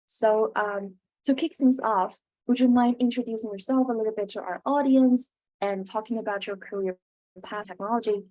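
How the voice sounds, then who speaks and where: A woman's voice: fairly high pitch, fairly even intonation, normal speed, dark tone, poor audio. A young woman speaking as the host of an interview, with a prepared, scripted way of introducing and asking questions. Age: young adult.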